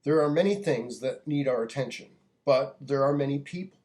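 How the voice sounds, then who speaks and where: Deliberate American man giving an address. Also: mid-range voice, a little mellow. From United States. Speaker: speaker delivering a public address. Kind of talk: earnest prepared monologue, oratory.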